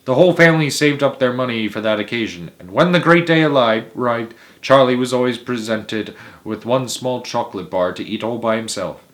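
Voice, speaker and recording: A man narrating a children's storybook aloud in a theatrical, emphatic way, with dramatic pauses and stretched words. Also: medium pitch, fairly bright tone. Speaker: host of a read-aloud story series.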